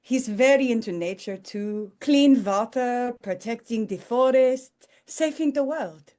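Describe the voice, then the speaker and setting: Slow, sweet old widow shopkeeper, played by a woman. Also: a little high, warm tone, natural voice. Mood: proud, fond. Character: sweet, wholesome old Austrian widow shopkeeper in her seventies. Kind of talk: table read of a romcom script.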